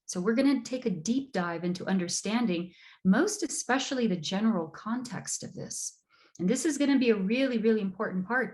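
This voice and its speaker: A woman from Brazil giving an online astrology lecture, measured and emphatic, repeating words for stress. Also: medium pitch, a little mellow.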